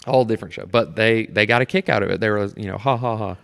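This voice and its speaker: A man chatting casually and telling stories in an animated way, acting out how people react. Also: fairly low pitch, a little fast. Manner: animated storyteller, acts out reactions. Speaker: guest, amateur stage performer. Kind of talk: casual storytelling chat. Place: temporary studio in a theatre. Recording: clear background noise and room ambience.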